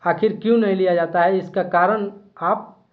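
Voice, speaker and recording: A man's voice: high-pitched, fairly even intonation, moderate pace, phone-quality sound. A man delivering explanatory news commentary, arguing a case with rhetorical questions.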